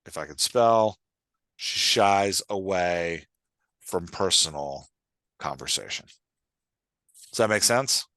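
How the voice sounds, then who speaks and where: A man's voice: deep voice, takes it slowly, pauses often, a bit bright, no room sound, clean studio sound. A man hosting a podcast as a writing coach, explaining conversationally, thinking aloud and often checking that he is understood.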